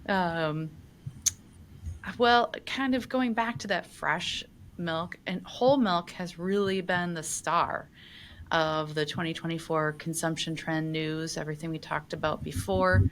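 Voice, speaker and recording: A woman hosts a farm magazine's podcast interview, her voice pitched low. She asks long, measured questions with an occasional "you know". Faint noise sits under her voice. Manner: measured, thoughtful questions with fillers. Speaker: podcast host, magazine editor.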